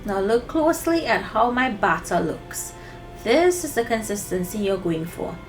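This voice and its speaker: A woman's voice: mid-range voice, big pitch swings, moderate pace, soft background music, low-quality recording. A woman presenting a recipe for children in an instructive, friendly demonstration style, speaking directly to them.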